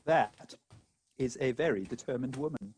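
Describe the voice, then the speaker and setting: A man's voice: medium pitch, moderate pace, a little mellow, breathy edge, natural voice. A man playing a gloomy, anxious jilted bridegroom in a comic farce, plaintive and formal. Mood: nervous. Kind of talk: comic stage farce acting.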